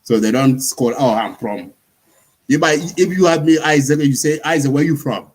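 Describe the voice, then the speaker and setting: A husky-voiced man from talks through his points in a relaxed panel discussion. He explains patiently, repeating himself and asking "you see?" as he goes. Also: mid-range voice, normal speed. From South Sudan.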